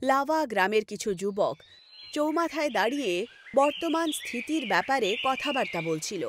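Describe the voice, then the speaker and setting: A woman's voice: high voice, animated, moderate pace, slight background noise. A woman narrating a scripted horror story as the storyteller. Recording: loud music behind the voice.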